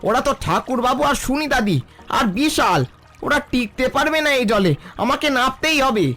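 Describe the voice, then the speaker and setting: A man's voice: very high-pitched, lively intonation, normal speed, bright, forceful tone, soft background music, heavily acted. A man playing a brave, selfless village father and riverman in a cartoon, firm and urgent. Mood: urgent, determined. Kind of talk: cartoon dialogue.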